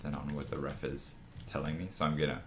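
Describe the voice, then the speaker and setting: A man with a raspy, groggy morning voice, casually rambling through a drawing lesson on a live stream, with many restarts. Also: rumbling low, monotone, fast, background noise. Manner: rambling, full of restarts and fillers. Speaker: art streamer teaching figure design.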